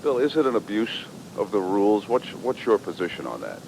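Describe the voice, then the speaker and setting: An old radio broadcast with clear rumbling noise features a male sports commentator. His voice is deep. He interviews conversationally, mixing questions with his own views on the game. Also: very animated, brisk, darkish tone, low-quality recording.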